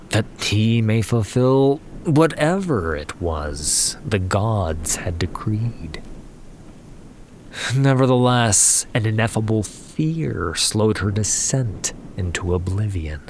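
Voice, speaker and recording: A man's voice: on the low side, a little hiss or hum. A man narrating a fantasy story in a dramatic, scripted audiobook style. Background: music.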